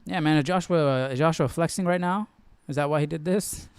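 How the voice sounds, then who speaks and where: A man's voice: a little high, brisk, darkish tone, smooth, dry recording. A man hosting a live study stream, giving casual, slangy, jokey commentary as he muses aloud.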